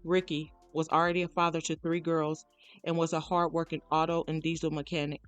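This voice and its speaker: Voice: medium pitch, level tone, fairly quick, a bit bright, clean tone, soft background music. A narrator reading a scripted true crime documentary account.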